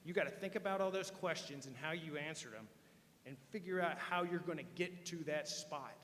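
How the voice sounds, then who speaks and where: A man's voice: fairly high pitch, brisk, fairly bright tone, distant mic, slight background noise. Folksy man speaking in echoey gym. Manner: folksy, joking, addresses the crowd directly. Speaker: hall of fame inductee speaker. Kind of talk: speech to high school students. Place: large school gym.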